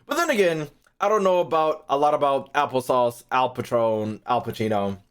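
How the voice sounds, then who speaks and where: A man's voice: mid-range voice, expressive, normal speed, clear, resonant tone. A man streaming casually, thinking aloud in a rambling way and swearing freely as he chats. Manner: rambling, foul-mouthed.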